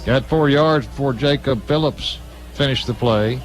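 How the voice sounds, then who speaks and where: A man's voice: mid-range voice, normal speed, rough recording. An American man giving live football play-by-play as a radio-style sports announcer at a game. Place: live at a high school football stadium.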